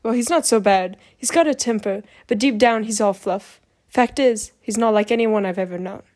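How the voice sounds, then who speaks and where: A woman's voice: medium pitch, moderate pace, darkish tone, lightly acted. A woman voicing a wry, self-possessed female agent with a playful, flirtatious manner in an audiobook. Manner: playful, candid.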